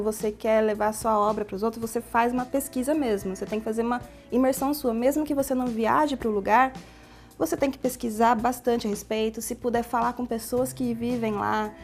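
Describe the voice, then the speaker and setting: A Brazilian woman with an accent from São Paulo's interior, an author explaining her novel at length in a lively, talkative way in a TV interview. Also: on the high side. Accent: Brazilian Portuguese, São Paulo interior. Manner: talkative, animated, long explanations. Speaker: novelist guest. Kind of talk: TV studio interview.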